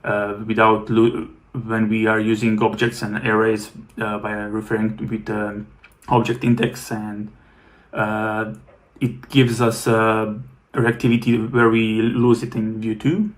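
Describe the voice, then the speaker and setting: A man wrapping up a technical talk at an online conference, pausing often with filler words. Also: lowish voice, completely flat delivery. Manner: halting, many uh fillers. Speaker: conference speaker.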